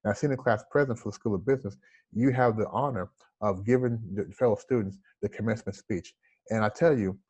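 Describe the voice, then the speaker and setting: A man's voice: medium pitch, a little mellow. A man in his forties with a slight lisp, an interview guest telling his story in an earnest, reflective way over a video call from home. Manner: earnest, reflective, gestures with hands.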